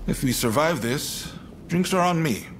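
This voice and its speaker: An easygoing, world-weary fighting comrade in a video game. The voice is on the high side and lively in pitch, casual and familiar, with noisy ambience behind. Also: male voice, measured pace. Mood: friendly, wry.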